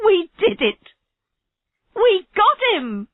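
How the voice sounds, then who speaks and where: A woman reading a storybook, voicing an excited police officer who exclaims loudly. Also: slow pace, exaggerated voice. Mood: triumphant excitement. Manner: loud, high, exclaiming. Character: excited police officers calling out triumphant news. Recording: roomy, slightly dull lo-fi audio.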